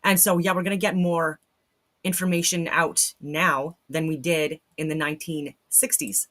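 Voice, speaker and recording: A woman's voice: sits low, flat delivery, moderate pace, fairly bright tone. A woman hosting a casual live reaction stream, blunt and skeptical, repeating phrases for emphasis.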